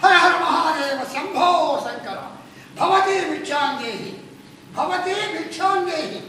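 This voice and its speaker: A puppet-theatre performer voicing a boastful demon king posing as a holy man, declaiming in Telugu folk style with drawn-out, commanding calls. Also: sits high, expressive, pushed and bright, soft background music, full character voice. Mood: chanting, pious. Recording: echoey noisy hall, far from mic.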